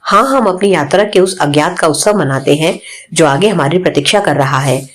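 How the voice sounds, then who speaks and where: Woman, low voice, audiobook reader, spiritual self-help reading, plain instructive delivery. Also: normal speed, slight background noise.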